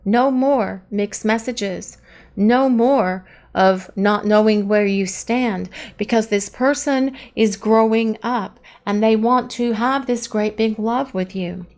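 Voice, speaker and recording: A woman giving a chatty, earnest tarot love reading, her voice hoarse and partly lost to allergies. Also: medium pitch, takes it slowly, darkish tone. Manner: earnest, chatty, pleading questions to the cards. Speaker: tarot reader.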